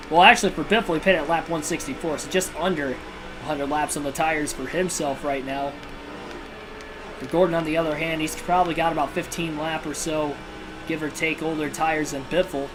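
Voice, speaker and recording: A man hosting a livestream and giving realistic play-by-play commentary on a racing video game, in a steady broadcaster style with casual personal asides. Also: on the high side, brisk, low-quality recording. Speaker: livestream host and race commentator. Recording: video game music clearly behind voice.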